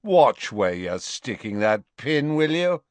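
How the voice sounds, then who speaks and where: A man puts on a spoiled, arrogant teenage boy who sneers and drips contempt. It is read slowly with lively pitch in a dry booth. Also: no echo. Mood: petulant.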